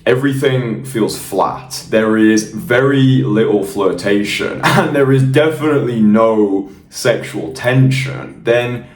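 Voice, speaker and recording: A man's voice: on the low side, slow, hardly any pauses. A young man coaching in a blunt, emphatic and confident way, swearing freely. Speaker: dating coach. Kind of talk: direct-to-camera coaching monologue. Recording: roomy sound, mic at a distance.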